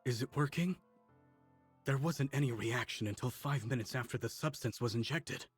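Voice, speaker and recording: An actor plays an astonished male scientist in a game cutscene. His voice is markedly husky and rough-edged, medium in pitch, and he sounds deeply curious, with music faintly behind. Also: moderate pace, no echo, natural voice. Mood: amazed, curious. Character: astonished, inquisitive male researcher. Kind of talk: video game cutscene acting.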